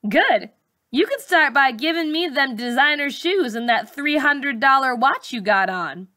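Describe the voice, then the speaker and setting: A tough, mouthy schoolgirl, as a woman narrator acts her out for an audiobook. She is sassy and defiant, throwing out slangy challenges in a bright, raised voice. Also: high-pitched, expressive, measured pace, voice pushed up, exaggerated voice.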